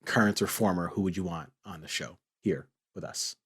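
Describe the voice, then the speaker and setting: A man's voice: low voice, normal speed, a bit bright, slightly rough. A man hosting a casual group chat, teasing and bantering playfully with his co-hosts. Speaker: podcast host.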